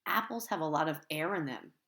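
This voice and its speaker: A woman's voice: deep voice, lively intonation, fairly quick, a bit bright. A woman voicing a smug third-grade girl who shows off what she knows.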